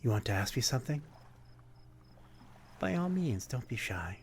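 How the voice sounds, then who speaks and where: A man's voice: mid-range voice, big pitch swings, normal speed, gravelly, a little hiss or hum. A man playing a melancholy, courtly vampire in an intimate ASMR roleplay, speaking politely in old-fashioned phrasing with a predatory undertone. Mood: gentle, coaxing. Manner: old-fashioned, polite, brooding, addressing one listener intimately. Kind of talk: ASMR roleplay, dramatic monologue.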